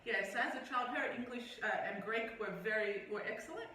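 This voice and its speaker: A woman's voice: fairly high pitch, a little fast, bright, forceful tone. A young woman, chatting as an interview guest in a cheerful, chatty way with ums. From Australia. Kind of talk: casual conversational interview. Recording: echoey room, mic at a distance.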